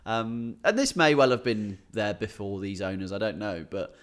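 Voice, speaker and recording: A man's voice: lowish voice, normal speed. British man telling anecdotes, chuckling as he goes. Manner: chuckles often while talking, swears casually. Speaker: podcast co-host, stand-up comedian. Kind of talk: casual comedic chat, telling anecdotes.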